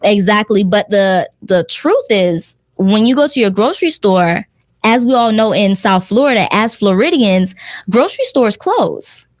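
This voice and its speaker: An emphatic woman in her thirties talks as a guest in a relaxed interview, hammering points home by saying them again. Her voice is mid-pitched and sits close and dry, with no room sound. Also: measured pace, no echo. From United States. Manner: emphatic, repeats points for stress. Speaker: guest, herbal detox business owner. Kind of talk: casual interview chat. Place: radio or podcast studio.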